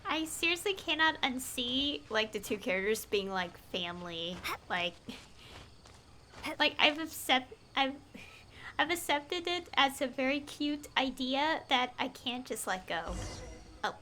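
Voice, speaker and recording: A woman's voice: high voice, lively intonation, measured pace, pushed and bright, slight background noise. A woman casually commentating live gameplay as a streamer, rambling and trailing off in a giggly way. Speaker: game streamer. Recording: faint game music behind. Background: game music.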